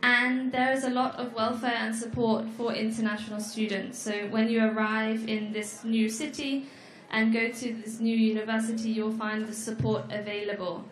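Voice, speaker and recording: A young woman with a fairly high voice gives an easygoing talk at a fair. She speaks to the crowd in a friendly way, with small ums between points, and her voice rings through a large echoey hall. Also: flat delivery. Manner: friendly, explains slowly with fillers. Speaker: presenter. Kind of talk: informal presentation at an education fair.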